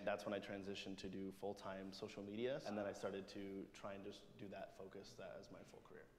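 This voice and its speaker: Fast-talking young man in a reverberant room. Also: fairly low pitch, little pitch movement. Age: 20s. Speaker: content creator taking part in a group discussion. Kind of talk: casual conversation. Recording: long room reverb, faint noise.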